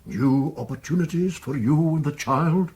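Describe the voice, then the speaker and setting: A man playing a kindly, earnest father in a period radio drama, with a husky voice. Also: fairly high pitch, normal speed, a little mellow. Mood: tender, coaxing.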